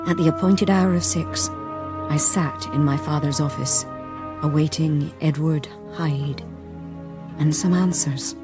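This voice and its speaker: An actress playing a sober investigator, narrating a gothic radio drama in a grave, measured way. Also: female voice, sits low, steady tone. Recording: music behind.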